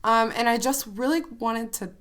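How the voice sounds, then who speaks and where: Young woman co-host, twenties, scratchy hoarse voice, bubbly chatter. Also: fairly high pitch, steady tone, moderate pace, a bit bright. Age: 20s. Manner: bubbly, chatty, laughs often. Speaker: podcast co-host. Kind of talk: casual chat.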